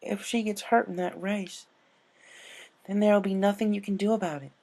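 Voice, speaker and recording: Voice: mid-range voice, steady tone, normal speed, gravelly, a little mellow, voice pushed up. A performer voicing an earnest, bookish young female pony in a cartoon fan story. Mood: firm, reasoning.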